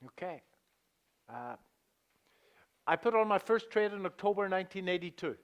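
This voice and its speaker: A middle-aged man with a Northern Irish accent speaks to a conference audience from the front of a hall. His voice sits a bit high for a man, and he talks in a chatty, joking way. He fills pauses with "uh" and drops his voice low for quick asides. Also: expressive. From England. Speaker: seminar presenter. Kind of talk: live conference presentation.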